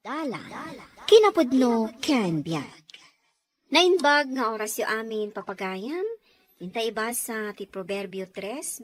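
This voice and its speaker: Female radio drama announcer, Ilocano Filipino accent, scripted, lively intonation, dry studio sound. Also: on the high side, dry recording.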